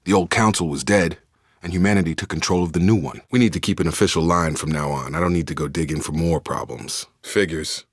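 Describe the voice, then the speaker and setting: A man's voice: low voice, brisk, husky, no echo. A man playing a loyal, guarded young soldier in a sci-fi video game, speaking in an earnest, controlled, matter-of-fact way. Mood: measured, serious.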